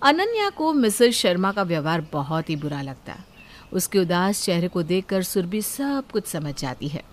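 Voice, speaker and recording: A woman's voice: fairly low pitch, very animated, normal speed. A woman narrating a family moral story and voicing its characters. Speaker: narrator and voice actor.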